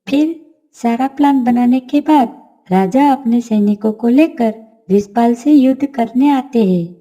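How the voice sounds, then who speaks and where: A woman's voice: a little high, a little slow, warm tone. A woman narrating a scripted children's bedtime fairy tale. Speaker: story narrator.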